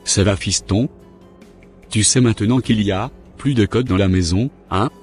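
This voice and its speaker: Low-voiced devout dad played by a man. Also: normal speed, a little mellow, faint music behind, close to an everyday voice. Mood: earnest, fatherly. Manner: earnest, fatherly. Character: devout, encouraging father of a young son.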